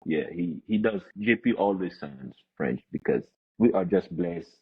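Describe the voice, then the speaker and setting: A young man chats informally as a podcast guest. His voice is low and rather husky, with a habit of repeating himself, and it comes through a dull, narrow video call connection. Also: animated, unhurried. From born in Congo, grew up in the US. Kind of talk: casual chat. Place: room with large windows, indoors. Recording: muffled, narrow-band video call audio.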